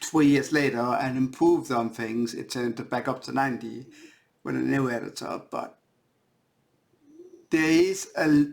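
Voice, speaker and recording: A Danish-accented man speaks slowly, with plenty of gravelly fry in his voice. He is an author talking informally about his own work, and he halts and circles back over phrases as he looks for the right word. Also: mid-range voice. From Denmark. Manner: halting, searching for words, repeating phrases. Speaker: indie author.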